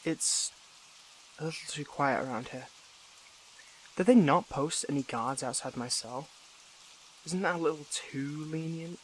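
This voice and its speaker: A man playing a weary, defiant young superhero in a dramatic audio roleplay, speaking haltingly and broodingly to the listener. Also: fairly high pitch, heavy background noise, natural voice.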